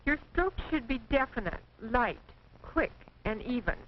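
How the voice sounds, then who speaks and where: An American woman reading scripted 1940s training film narration in a precise, measured, instructive manner. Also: on the high side, lively intonation. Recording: dull, noisy 1940s film soundtrack.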